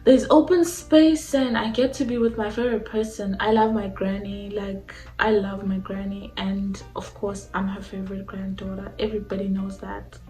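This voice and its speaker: A woman's voice: medium pitch, level tone, normal speed, hardly any pauses, a little mellow. A young South African woman whose first language mixes Xhosa and Zulu, chatting casually and informally to viewers in a question-and-answer vlog. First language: a mixture of Xhosa and Zulu. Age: young adult. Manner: informal, uses "like" constantly. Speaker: YouTuber answering personal questions. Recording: echoey room with faint music.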